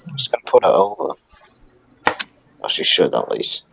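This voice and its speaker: A man's voice: a bass voice, wildly swooping pitch, moderate pace, gravelly, a bit bright, husky, muffled recording, faint music behind. A man co-hosting a podcast in casual chat, chipping in with short reactions. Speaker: podcast co-host.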